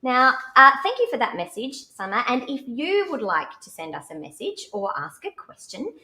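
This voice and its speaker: Animated, high-pitched Australian woman hosting kids' show. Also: normal speed. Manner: animated, exclamatory, addressing young viewers. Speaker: children's show host. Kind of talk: live children's show presenting. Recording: roomy, echoey live stream sound.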